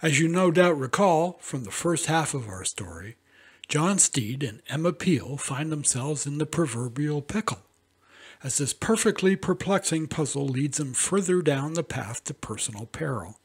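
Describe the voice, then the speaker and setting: A man's voice: on the high side, expressive, moderate pace, breathy edge. A man hosting a classic radio program, giving a scripted introduction with playful, alliterative delivery. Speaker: radio host.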